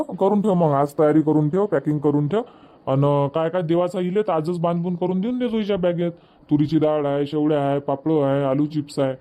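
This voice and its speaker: An obliging village farmer dad acted by a man from a cartoon comedy. His voice is soft-edged and mellow, pitched a little high for a man, with a homely Vidarbha Marathi accent. He talks agreeably and repeats himself. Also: natural voice. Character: easygoing, obliging older village farmer father. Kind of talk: homemade comedy cartoon dubbing.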